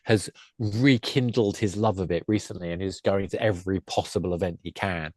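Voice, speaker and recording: A man's voice: deep voice, steady tone, brisk, no room sound, clean studio sound. A man speaking as an enthusiastic podcast guest, explaining games in a conversational way.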